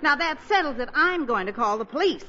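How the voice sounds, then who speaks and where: A woman's voice: high-pitched, lively intonation, brisk, sharp tone. An actress playing an anxious, fretful middle-aged mother in a 1940s radio comedy. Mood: anxious, urgent. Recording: muffled, hissy 1940s radio broadcast.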